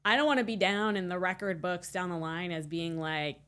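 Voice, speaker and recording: A woman's voice: lowish voice, fairly quick, fairly bright tone. A woman co-hosting a podcast in a casual chat, with a wry, dry sense of humor. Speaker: podcast co-host.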